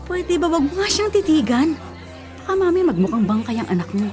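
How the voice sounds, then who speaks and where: High-pitched, bossy superstitious older sister. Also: female voice, sing-song, a little fast. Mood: bossy, scolding. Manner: scolding, insistent, defensive. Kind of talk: radio drama acting. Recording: prominent music behind the voice. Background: music.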